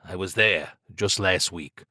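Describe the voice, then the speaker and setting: A man's voice: low-pitched, slow, pushed and bright, no echo, studio quality, exaggerated voice. A man voicing a nervous, evasive small-time crook with slangy, flippant bravado in an audiobook. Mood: uneasy, confiding.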